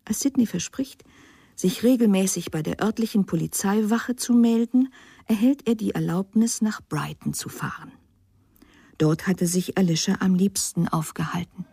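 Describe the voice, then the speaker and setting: A woman reading scripted narration as the narrator of a crime story, in a measured, suspenseful storytelling manner. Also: mid-range voice, creaky, mellow, breathy edge, no room sound, clean studio sound.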